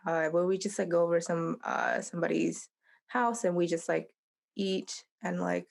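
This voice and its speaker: A young woman from Vietnam joins a podcast as a guest, her lowish voice carrying a gravelly fry. She talks in a relaxed way, searching for words and leaning on fillers. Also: normal speed. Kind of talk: casual podcast chat.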